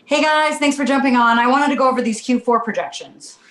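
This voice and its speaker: A woman playing the exasperated, placating mother of a talking baby in an animated comedy table read, recorded in a roomy space. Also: high-pitched, brisk. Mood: brisk, polite.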